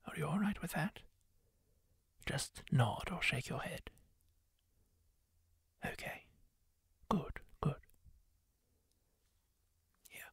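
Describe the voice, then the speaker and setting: Guy doing a kindly old war surgeon healer, slow gravelly whisper, super close and dry. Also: on the high side, expressive, dry recording, heavily acted. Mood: soothing. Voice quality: soft whisper, slow and soothing. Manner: gentle, reassuring, asks permission. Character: kindly male former war surgeon healer, gentle, grim past. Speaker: ASMR roleplayer. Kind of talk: whispered ASMR roleplay.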